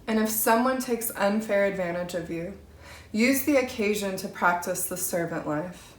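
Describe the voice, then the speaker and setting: A woman's voice: medium pitch, normal speed. A woman reading scripture aloud for a church, with emphatic, direct delivery. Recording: roomy, echoing sound.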